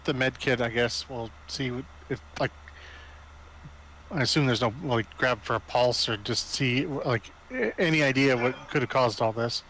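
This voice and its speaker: Male tabletop player, medium pitch, casual talk with faint noise behind. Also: normal speed, a bit bright, poor audio. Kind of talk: casual game-table chat. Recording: faint noise on home microphone.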